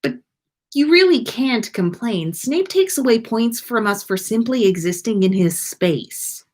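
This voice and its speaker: A woman's voice: on the high side, expressive, unhurried, darkish tone. A woman voicing an amused, awkwardly apologetic teenage wizard boy who speaks hesitantly, in a story read-aloud. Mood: casual. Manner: hesitant, stifling laughter. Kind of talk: animated fan fiction read-aloud.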